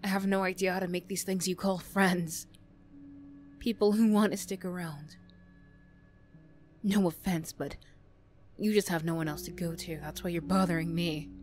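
A woman playing a defensive teenage school bully girl who reluctantly opens up, prickly and halting, in an emotional ASMR roleplay. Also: lowish voice, steady tone, a little mellow, natural voice. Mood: despairing, confiding. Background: music.

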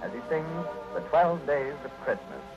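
A man's voice: on the high side, big pitch swings, normal speed, loud music behind the voice. A man with an American accent announcing in a formal, declamatory 1940s radio style from a script. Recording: muffled vintage 1940s radio broadcast.

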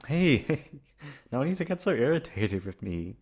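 A young man playing a cheeky, soft-hearted delinquent college guy in a casual, hesitant ASMR boyfriend roleplay. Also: medium pitch, sing-song, measured pace, slightly rough, heavily acted. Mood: playful, complaining. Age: young adult. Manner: casual, hesitant, teasing, profane. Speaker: voice actor.

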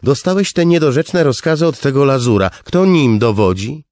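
A man's voice: mid-range voice, sing-song, measured pace, a little mellow, no room sound, studio quality, pitched above the performer's own voice. A man voicing an imperious, demanding young king in an audiobook, haughty and commanding. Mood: indignant.

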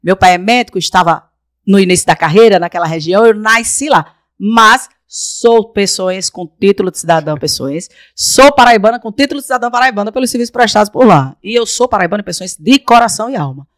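A woman's voice: medium pitch, animated, a little fast, no echo. Emphatic woman in her 40s from paraiba, guest on a podcast, dry close mic. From Brazil, raised in Paraíba. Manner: emphatic, repeats for rhetorical effect. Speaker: guest, council candidate. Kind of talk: podcast interview. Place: small podcast studio with blue-lit backdrop. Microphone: desk mic on boom arm.

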